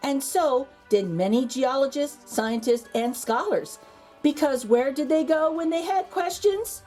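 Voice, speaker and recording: A woman reading a children's picture book aloud as a storytime host, theatrically, with dramatic pauses and emphasis. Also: high-pitched, mellow, soft background music.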